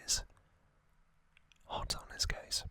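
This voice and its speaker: An ASMR host giving a relaxed recap in a soft, unvoiced whisper, with casual fillers and small asides. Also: takes it slowly.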